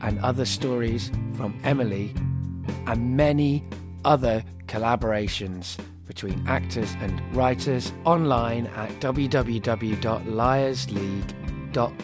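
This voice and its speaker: A man's voice: mid-range voice, unhurried. Male podcast host over guitar music. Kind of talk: scripted podcast narration. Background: plucked guitar music.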